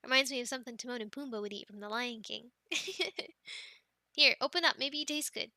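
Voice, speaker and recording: A young woman voicing an affectionate, teasing girlfriend in an ASMR roleplay, speaking softly, giggling and dropping into whispers, close to the mic. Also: fairly high pitch, fairly quick, no room sound. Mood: playful. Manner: soft and giggly, drifting into whispers.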